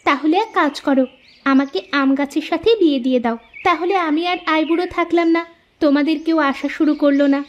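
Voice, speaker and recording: A woman's voice: high-pitched, moderate pace, a little mellow, highs cut off, slight background noise, close to an everyday voice. A woman voicing a proud, honest young village girl in a moral story cartoon, speaking earnestly and firmly. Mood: defiant.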